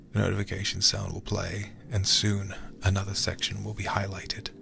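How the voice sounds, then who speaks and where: A man streaming a game in ASMR style, reading aloud and commenting in a hushed, intimate way close to the microphone. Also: fairly low pitch, noisy background. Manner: hushed, slow and intimate delivery with breathy whispers.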